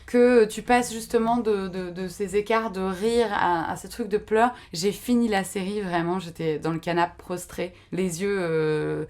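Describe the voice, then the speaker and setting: A woman's voice: mid-range voice, steady tone, unhurried, hardly any pauses, a little mellow. A woman on a podcast panel, chatting casually and animatedly with many fillers as she recommends a series.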